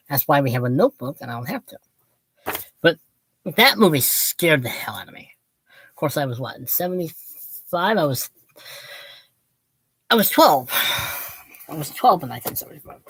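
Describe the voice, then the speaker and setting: Book vlogger, female, late fifties. Low voice, dry close sound, casual and digressive, self-deprecating, opinionated. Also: slightly rough, no room sound, low-quality recording. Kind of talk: casual rambling book talk to viewers.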